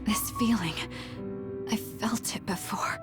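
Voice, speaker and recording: An actress playing a guarded, blunt young woman soldier haunted by her memories, in a breathy voice for a video game dub. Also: medium pitch, slow pace, loud music behind the voice, natural voice. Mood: dazed, hushed.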